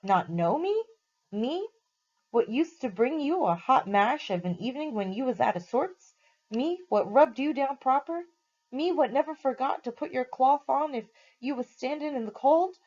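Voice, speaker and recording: A woman voicing a blunt, working-class cab driver who talks with rough, indignant directness. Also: sits high, animated, normal speed, a little mellow, dull audio, voice pushed up. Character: rough-spoken London cab driver, loyal man.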